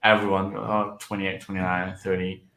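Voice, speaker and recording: A man with a lowish voice hosts a casual chat over a video call. He jokes easily and laughs a lot. His voice rings a little in an echoey room. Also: normal speed, vocal fry, crowd noise. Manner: laughs often, relaxed and joking. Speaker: podcast host.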